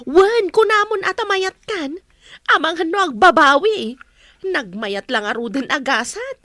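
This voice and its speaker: An actress with a Filipino accent playing a lively, teasing confidante in a radio drama. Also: female voice, extremely high pitch, measured pace, dry recording, studio quality, heavily acted. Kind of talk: Ilocano radio drama acting.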